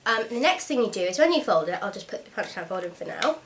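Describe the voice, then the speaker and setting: Young woman, slightly hoarse from a cold, frank and chatty vlogger, mid-range voice. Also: mid-range voice, sing-song, fast, slight background noise. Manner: chatty, frank and practical, with frequent ums. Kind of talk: casual instructional vlog.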